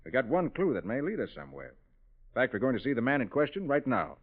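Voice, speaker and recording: An actor playing a confident, probing American private detective in a 1940s radio drama. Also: male voice, medium pitch, sing-song. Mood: brisk, businesslike. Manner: brisk, probing questioner. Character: brisk, confident male private detective in charge. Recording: tinny, band-limited old radio recording.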